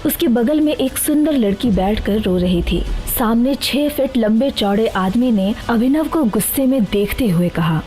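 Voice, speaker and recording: A woman narrates a dramatic audio story. Her voice is fairly high yet mellow in tone, with faint music underneath. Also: expressive, unhurried, few pauses.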